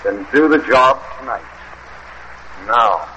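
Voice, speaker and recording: An actor playing a cruel, vengeful husband in an old radio horror drama, with theatrical, mocking, cackling delivery. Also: male voice, medium pitch, lively intonation, slow pace, sharp tone. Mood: menacing command. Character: cruel gloating husband, mad with jealous vengeance. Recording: muffled, hissy old radio drama recording.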